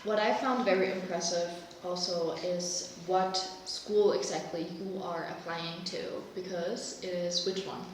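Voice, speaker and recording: A woman's voice: fairly low pitch, fairly even intonation, measured pace, hardly any pauses. Female podcast host, 20, from Germany, conversational interviewer; heavily echoing room, slight background noise.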